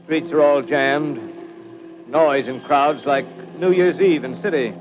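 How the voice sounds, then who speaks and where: An actor playing a male radio announcer giving a halting, urgent live report in a 1930s radio drama. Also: on the high side, slight background noise. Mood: grim. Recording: dull old radio broadcast.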